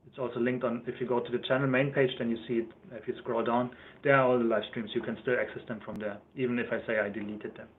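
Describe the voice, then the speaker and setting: A man's voice: mid-range voice, level tone, fast talker, hardly any pauses, slight background noise. A young man with a German accent chatting casually on a livestream, rambling and correcting himself as he answers viewers' questions. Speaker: YouTuber. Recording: thin phone-like livestream audio.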